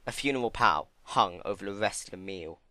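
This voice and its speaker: A man's voice: low-pitched, lively intonation, fairly quick, bright, forceful tone, dry recording. A man giving a dramatic scripted narration of a story.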